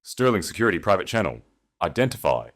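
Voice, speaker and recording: Crisp British security chief, acted by male narrator. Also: sits low, big pitch swings, quick pace, a bit bright. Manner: crisp, deferential.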